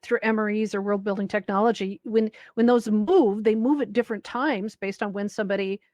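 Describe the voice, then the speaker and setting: A woman's voice: medium pitch, a little fast, darkish tone, no room sound. A woman moderating a casual panel discussion over online voice chat, talking conversationally.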